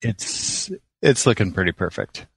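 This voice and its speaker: A man's voice: deep voice, lively intonation, a little slow, creaky, breathy edge, no room sound, poor audio. A man podcast co-host chatting casually about films. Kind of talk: casual chat about movies.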